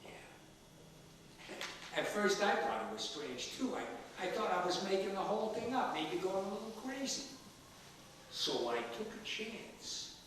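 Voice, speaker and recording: A man's voice: high voice, sing-song, unhurried, a bit bright, exaggerated voice. An older male actor playing a feisty, wisecracking elderly father in a comedic stage play, emphatic and sardonic. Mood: earnest. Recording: echoey theater stage, distant mic, faint noise.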